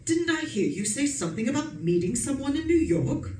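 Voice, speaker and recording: A woman's voice: sits high, very animated, fairly quick, darkish tone. A woman acting an imperious, nagging society wife in a radio suspense play, scolding and sure of herself. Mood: bossy, suspicious. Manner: bossy, scolding, haughty. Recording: echoey room, distant mic, noisy background.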